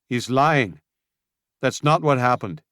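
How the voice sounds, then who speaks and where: A blunt, tough fighter, performed by a man, accuses in a raised, forceful voice well above the reader's own deep tone. Dry, close storybook reading. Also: animated, takes it slowly, no echo. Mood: accusing.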